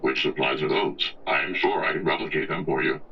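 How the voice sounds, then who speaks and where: A polite robot servant, with an extremely low voice that rattles with gravel. It speaks formally and obligingly, as in old-time radio science fiction. The sound is slightly muffled. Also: male voice, slight background noise. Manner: formal, measured wording, deferential. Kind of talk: old-time radio sci-fi drama acting.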